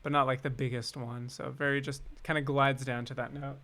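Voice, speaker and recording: A man's voice: mid-range voice, flat delivery, brisk. A man hosting a casual composing livestream, thinking aloud and chatting to viewers with self-deprecating asides. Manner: musing, self-deprecating asides, talks to chat. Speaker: music composer and streaming host. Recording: loud cello and piano music behind. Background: cello and piano music being composed.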